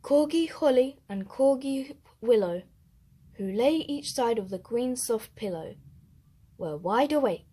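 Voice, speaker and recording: A woman with a fairly high voice reads a children's picture book aloud. She is expressive and deliberate, leaning on the rhymes as a storyteller. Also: slightly rough.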